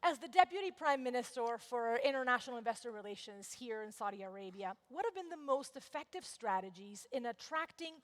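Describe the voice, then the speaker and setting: A woman's voice: on the high side, lively intonation, brisk, few pauses. A woman from Venezuela moderating a conference panel, asking polished questions built on statistics. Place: large conference hall. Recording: long hall reverb.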